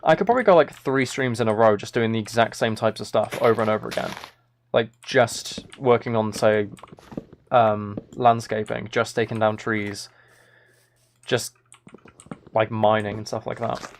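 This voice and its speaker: A man's voice: fairly low pitch, normal speed. A man livestreaming a game with casual commentary, muttering to himself in a mildly exasperated way. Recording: keyboard typing and clicking noise. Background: keyboard and mouse clicks.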